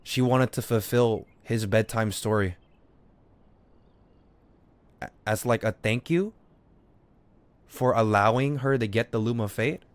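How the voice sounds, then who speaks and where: A man's voice: mid-range voice, takes it slowly, long pauses, dry recording. Man arguing theories on a dry-sounding stream. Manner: argumentative, repetitive, swears casually. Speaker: game live streamer. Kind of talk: unscripted gaming stream commentary.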